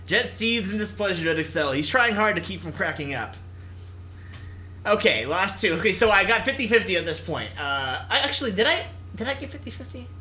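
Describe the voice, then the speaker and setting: A man's voice: high-pitched, lively intonation, fairly quick, bright, forceful tone, slight background noise. A man hosting a gaming video, reading narration expressively and mixing in casual commentary.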